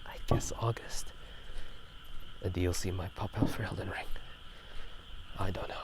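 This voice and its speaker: A man speaking in soft whispers for a casual ASMR gaming chat outdoors at night, unscripted and rambling, often correcting himself. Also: deep voice, steady tone, normal speed, vocal fry, poor audio. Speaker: video host. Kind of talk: whispered ASMR chat about video games. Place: outdoors at night near rice fields.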